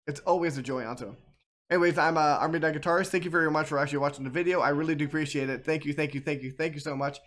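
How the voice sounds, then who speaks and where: A man's voice: a little high, fairly even intonation, rapid-fire, fairly bright tone. A man giving casual, rambling game commentary as a streamer, often repeating himself.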